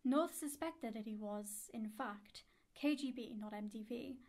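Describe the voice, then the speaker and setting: A woman acting as the narrator of a spy audio drama, giving measured, scripted storytelling. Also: on the high side, a little mellow. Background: music.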